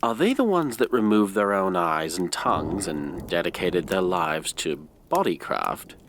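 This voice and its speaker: A man's voice: deep voice, animated, a little slow, background noise. A man playing a curious young collector of occult relics in an audio drama, eagerly savouring grisly details. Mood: fascinated.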